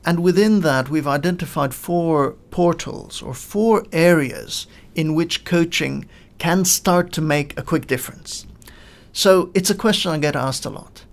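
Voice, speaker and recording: Gravelly-voiced man explaining at length. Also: on the high side. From Lebanon. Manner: measured, explanatory, with frequent uh fillers. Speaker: guest, coaching in education expert. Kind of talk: podcast interview answers.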